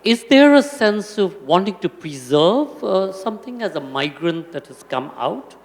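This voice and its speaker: A man hosts a stage conversation, putting measured questions to his guest. His voice sits a little high for a man, and it carries a long reverb from the hall. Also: big pitch swings. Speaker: interviewer.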